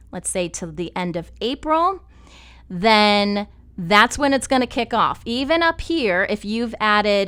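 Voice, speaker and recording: A woman giving a conversational, step-by-step software tutorial in an explanatory style. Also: medium pitch, smooth. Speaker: podcast network instructor.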